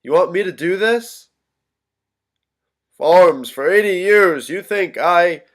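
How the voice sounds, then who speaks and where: Man acting a troubled, high-voiced young son. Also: expressive, a bit bright, heavily acted. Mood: subdued, weary. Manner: halting, repetitive, switching tones of voice. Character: troubled young son losing his grip, pleading, echoing his vanished family. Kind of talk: staged reading of a stage drama.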